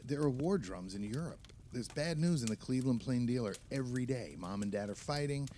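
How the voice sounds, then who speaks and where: An actor playing an opinionated, nostalgic film writer, talking emphatically and at length among friends in a naturalistic audio play. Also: male voice, medium pitch, lively intonation, brisk, dark tone, a little hiss or hum, natural voice. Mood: earnest.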